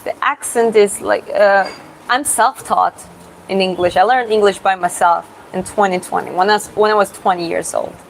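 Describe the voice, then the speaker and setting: Georgian-accented young woman, halting chat. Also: medium pitch, creaky, slight background noise, poor audio. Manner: hesitant, restarts words, thinks aloud. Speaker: creator answering viewer questions. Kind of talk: casual Q&A. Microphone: phone or camera close up.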